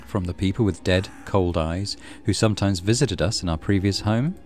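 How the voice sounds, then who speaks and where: Male gaming host, low-pitched, dramatic horror story narration, muttered asides, soft music behind. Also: normal speed. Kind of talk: reading a horror visual novel aloud in a let's play.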